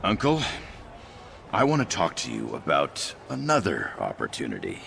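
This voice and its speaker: A man's voice: a bass voice, wildly swooping pitch, normal speed, vocal fry, fairly bright tone, heavily acted. A man playing a cheerful, ambitious young orc trader in scripted video game dialogue. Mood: eager.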